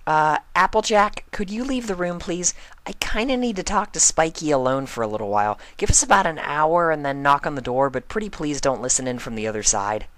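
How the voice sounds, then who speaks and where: A woman voicing a bubbly, excitable young female pony with gushing, emphatic, childlike talk in a story reading. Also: low-pitched, brisk, hardly any pauses, dry recording, pitched above the performer's own voice. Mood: pleading.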